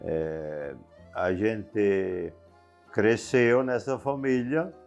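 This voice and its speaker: Older man, calm and plain-spoken, low-ish voice, faint music behind. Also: drawn-out words, gravelly. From southern Brazil. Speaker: interviewee. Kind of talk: recounting memories.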